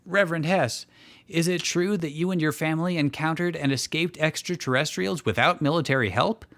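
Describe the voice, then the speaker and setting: Man doing a high, pushy lady reporter. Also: brisk, fairly bright tone, no room sound. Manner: pressing, questioning. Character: pushy, batty female TV news reporter.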